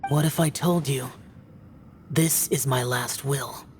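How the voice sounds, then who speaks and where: A man's voice: medium pitch, steady tone, slow pace, a little mellow, natural voice. A man playing a young immortal warrior, stoic and grim, talks in a solemn, resolute way. His lines are terse game dialogue with music underneath. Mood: solemn, unyielding. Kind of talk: video game voice acting.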